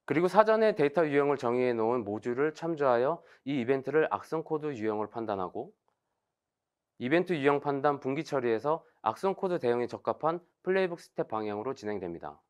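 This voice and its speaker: A man delivers a scripted technical presentation in a measured, formal manner. His voice sits at an average pitch but moves up and down noticeably, stepping through each point as an explainer would. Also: animated, smooth.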